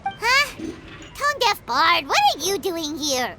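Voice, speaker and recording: A woman voicing a tiny, childlike, excitable female fairy companion in a video game, with a squeaky, exclamatory delivery. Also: slow pace, very noisy, heavily acted. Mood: teasing suspicion. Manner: exclamatory, refers to self in third person. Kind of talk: video game character voice acting.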